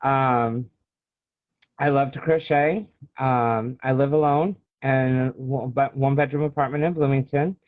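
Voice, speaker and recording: A man's voice: medium pitch, steady tone, measured pace, no room sound. American male author guest, forties, casual interview talk, narrow phone-quality sound, dry. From Illinois, United States. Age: 40s. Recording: thin phone-like call audio.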